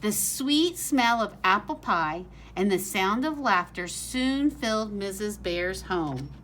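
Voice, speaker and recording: A woman teacher reading a picture book aloud to young children in an expressive, simple, child-directed way. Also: medium pitch, slow, a little hiss or hum, low-quality recording.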